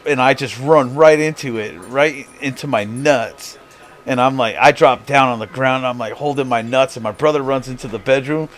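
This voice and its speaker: American male streamer, mid-pitched, crude and casual storyteller, noisy backdrop. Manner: crude, swears freely. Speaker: video host and streamer. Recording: clearly noisy background.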